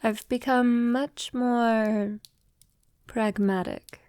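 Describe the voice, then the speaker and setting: A woman playing an affectionate centuries-old vampire lover in an intimate ASMR roleplay, speaking softly and formally, often slipping toward a breathy whisper. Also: fairly high pitch, fairly even intonation, no echo, clean studio sound. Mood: reflective, wistful. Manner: soft, tender, unhurried, often breathy near-whisper; formal, elegant wording.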